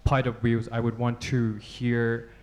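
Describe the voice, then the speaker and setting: A man from southern Thailand with a Thai accent asks a long, halting question at a press club panel, with many fillers and apologies. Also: mid-range voice, monotone, a little mellow. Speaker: audience questioner.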